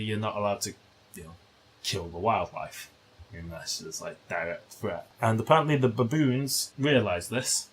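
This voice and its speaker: A man's voice: fairly low pitch, slight background noise. A British man co-hosting a comedy podcast, telling rambling, sweary anecdotes in a casual way. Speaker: podcast co-host.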